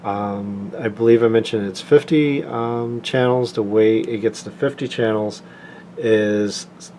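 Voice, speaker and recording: Low-voiced man giving an informal product review. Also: fairly even intonation, warm tone, slight background noise. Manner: halting, unscripted, plain-spoken explanation.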